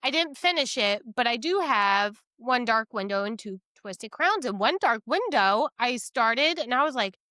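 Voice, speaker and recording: A woman's voice: fairly high pitch, animated, measured pace, hardly any pauses, bright, forceful tone, dry recording, studio quality. A woman co-hosting a podcast, chatting casually about books in a blunt, emphatic way with some swearing. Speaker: podcast co-host. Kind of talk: casual book review chat.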